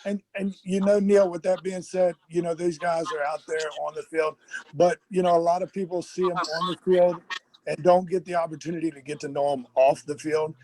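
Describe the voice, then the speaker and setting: Higher-voiced man, earnest interview guest. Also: flat delivery, a little fast, a little mellow, rough recording. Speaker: athlete guest. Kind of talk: casual interview chat.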